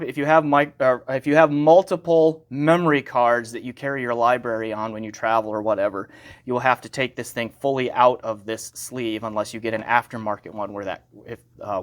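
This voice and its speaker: Male reviewer, mid pitch, conversational and unscripted, correcting himself as he demonstrates gear. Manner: conversational, self-correcting, thinking aloud. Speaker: gear reviewer and channel host.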